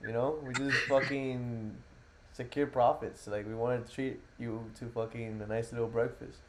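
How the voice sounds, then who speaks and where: A man's voice: medium pitch, level tone, moderate pace, slight background noise, low-quality recording. A man hosting a trading livestream, joking with his co-hosts and talking to the chat in casual banter.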